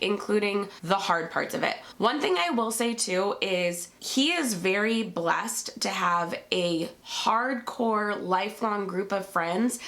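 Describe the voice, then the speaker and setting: A woman's voice: medium pitch, a bit bright. A woman hosting a podcast, chatty and enthusiastic, sounding slightly nasal and congested from a sinus infection. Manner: chatty, enthusiastic, addresses listeners as y'all. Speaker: podcast host. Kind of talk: casual podcast book recommendations.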